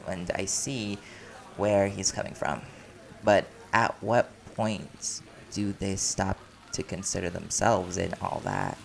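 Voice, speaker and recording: A man's voice: on the low side, gravelly. An actor playing a playful, caring man in a chatty, teasing audio roleplay conversation. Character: silly, playful, caring man at a community party.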